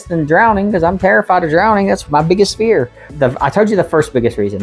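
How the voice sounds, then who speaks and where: A woman's voice: low-pitched, slightly rough. A young American woman talking frankly in a casual vlog, chatty and laughing often. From United States. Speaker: vlogger.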